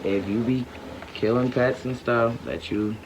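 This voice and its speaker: A man chiming in casually during a family read-aloud, talking slowly and trailing off quietly. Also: medium pitch, fairly even intonation, noisy background. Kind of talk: casual aside. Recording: dull lo-fi home recording.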